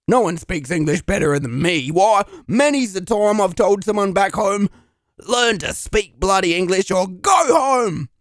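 A man's voice: sits high, expressive, a little slow, no room sound, studio quality. A man voicing a crude, boastful Australian bum with an Australian accent in a comedy audio drama, talking brash and swearing. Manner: brash, swearing, says mate.

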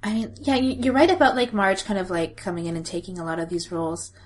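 A woman in a casual podcast discussion, searching for her words in a halting way. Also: medium pitch, steady tone, slight background noise.

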